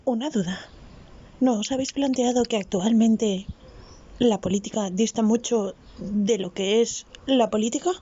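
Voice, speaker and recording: Dark, husky woman from Spain, dull recording. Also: on the high side, unhurried. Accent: Spain Spanish. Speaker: listener sending an audio message. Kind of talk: casual opinion in a voice message. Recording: dull, lo-fi voice message.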